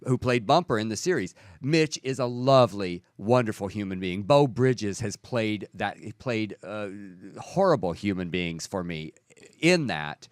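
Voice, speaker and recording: A man from South Texas co-hosting a talk show in a low, relaxed register. He chats with gushing enthusiasm and often stumbles and restarts mid-sentence. The recording is close and dry. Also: measured pace, no room sound. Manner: chatty, enthusiastic, many restarts. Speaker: co-host. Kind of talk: casual chat.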